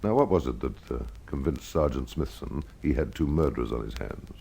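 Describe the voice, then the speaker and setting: Low male voice, old-time radio storyteller, scripted detective narration, measured and dramatic, addresses the listener. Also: lively intonation, vocal fry, noisy background. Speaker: host and narrator of a mystery programme.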